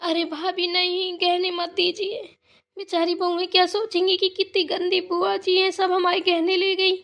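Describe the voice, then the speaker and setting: Very high, plaintive married daughter voiced by a woman. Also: completely flat delivery, moderate pace, a bit bright. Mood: pleading. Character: touchy, distressed married daughter visiting her parents' home. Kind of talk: Hindi family moral cartoon dialogue.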